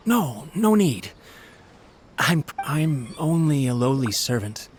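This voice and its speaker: A man's voice: mid-range voice, very animated, slow, a little hiss or hum. A man playing a humble, anxious clan servant in a video game, hesitant and deferential, stumbling apologetically over words. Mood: humble, worried.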